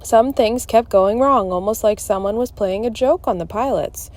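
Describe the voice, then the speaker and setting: A woman's voice: fairly high pitch, normal speed, a little mellow, natural voice. A woman voicing a genial scientist father in a storybook, explaining things without hurry.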